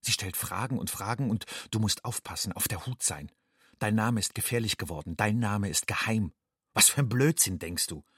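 Haunted secretive young migrant guy, radio play monologue, husky and dry, terse inward phrases. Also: lowish voice, normal speed, a bit bright, dry recording. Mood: tense, inward. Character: haunted, secretive young undocumented man addressing himself as you. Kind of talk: literary second-person monologue in a radio play.

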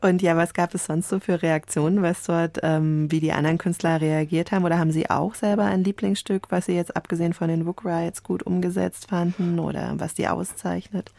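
A woman's voice: lowish voice, level tone, fairly quick, vocal fry, few pauses, mellow, no room sound. A woman hosting a radio interview, putting questions in a conversational way.

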